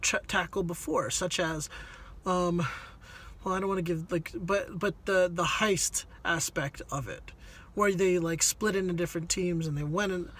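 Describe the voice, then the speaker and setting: A man's voice: high voice, steady tone, normal speed, a bit bright. A man giving a casual conversational movie review, hesitant and rambling as he searches for words. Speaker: film reviewer on a video channel.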